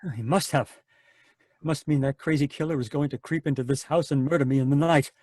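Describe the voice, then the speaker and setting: A man's voice: on the high side, fairly even intonation, brisk, mellow, dry recording, close to an everyday voice. A man playing a sinister doctor who turns out to be a nursery-rhyme killer, in an old-time radio drama read over a video call. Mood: nervous. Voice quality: creepy, sing-song when reciting rhymes. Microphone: home computer mic.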